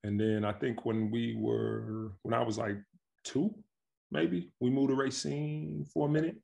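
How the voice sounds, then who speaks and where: A man from Milwaukee with a gravelly, fry-tinged voice talks about his life in basketball. He is in his thirties and speaks in a loose, slangy way, often breaking into laughter. He is on a video call from his home. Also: mid-range voice, a little slow. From Milwaukee, Wisconsin, USA. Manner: relaxed, slangy, animated storytelling with frequent laughs. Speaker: guest, pro basketball player. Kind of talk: casual interview chat. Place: home with staircase behind, indoors. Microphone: video call webcam.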